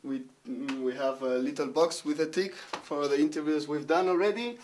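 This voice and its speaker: A twenty-two-year-old man from Spain, explaining things carefully and a little haltingly. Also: on the high side, darkish tone, a little hiss or hum. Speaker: cameraman. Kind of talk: casual explanation to camera.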